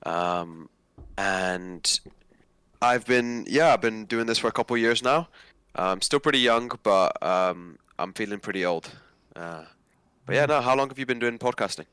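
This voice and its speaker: A man's voice: on the low side, measured pace, fairly bright tone, clear, resonant tone, no echo. A man in his twenties from Nigeria, speaking casually as a guest in an interview. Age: 20s.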